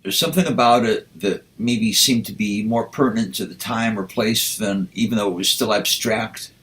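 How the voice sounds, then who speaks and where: A man, a poet, speaking and reciting with deliberate, measured phrasing. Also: on the low side, a bit bright. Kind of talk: spoken introduction and poetry recitation.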